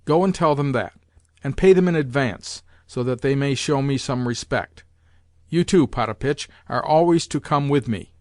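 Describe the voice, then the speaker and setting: A man's voice: medium pitch, moderate pace, darkish tone, dry recording, studio quality, natural voice. A man reading aloud, voicing an imperious old grandmother who scolds and gives orders bluntly.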